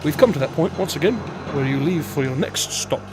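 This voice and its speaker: A man's voice: on the high side, expressive, fairly quick, background noise, close to an everyday voice. A man playing a wry, teasing divine guide in an audio drama, polite and lofty in manner. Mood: authoritative. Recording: dramatic music underneath.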